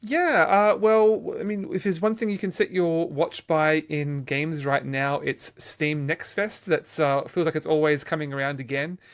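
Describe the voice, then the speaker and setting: A man's voice: a little high, moderate pace, hardly any pauses. A man co-hosting a podcast, chatting casually about games in a rambling way, often restarting his phrases. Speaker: podcast co-host.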